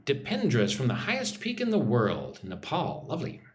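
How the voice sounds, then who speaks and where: A man's voice: medium pitch, huge pitch swings, darkish tone, echoey room, a little hiss or hum. An American man teaching English on a live stream, reading out viewer comments and sounding out words for listeners to repeat. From United States. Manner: reads viewer comments aloud, models pronunciation slowly.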